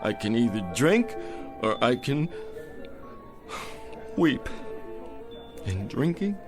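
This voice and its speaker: An actor playing a drunken, down-on-his-luck store Santa in a radio drama, sad and gentle, rambling with slurred courtesy. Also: mid-range voice, very animated, slow pace, music in the background. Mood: wounded, earnest.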